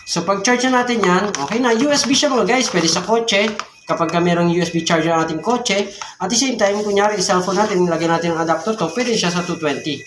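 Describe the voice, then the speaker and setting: A man's voice: high-pitched, brisk. Filipino man vlogging in an echoey room. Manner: repeats check words like "alright" and "okay", explanatory. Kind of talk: casual unboxing and tutorial. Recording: echoey room with background noise and clicks.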